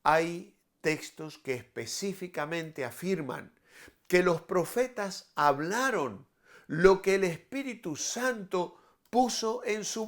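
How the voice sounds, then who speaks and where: A man teaches a devotional as a pastor, taking his time over each phrase. His voice sits slightly high for a man and moves expressively up and down. The delivery is didactic and emphatic, suited to explaining and reading scripture. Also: fairly bright tone. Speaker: pastor giving a daily devotional.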